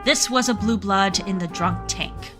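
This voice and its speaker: Female narrator for audio drama. Medium pitch, scripted storytelling delivery over a clear music bed. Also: measured pace.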